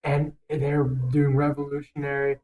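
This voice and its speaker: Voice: mid-range voice, monotone, a little slow, darkish tone, low-quality recording. A young adult podcast co-host giving opinions in casual banter, swearing freely and rambling emphatically.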